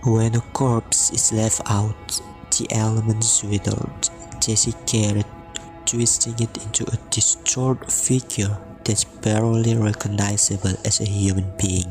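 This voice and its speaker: Slow, low-voiced man, ominous. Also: little pitch movement, over music. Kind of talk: amateur radio drama acting.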